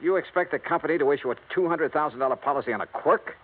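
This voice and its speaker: A man's voice: a little high, very fast, slightly rough. A man with an American accent playing a shrewd, skeptical insurance investigator in old-time radio noir, with clipped, probing delivery. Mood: pressing, skeptical. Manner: clipped, probing, hard-boiled questioning. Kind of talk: old-time radio noir acting with first-person narration. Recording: muffled, noisy vintage radio recording.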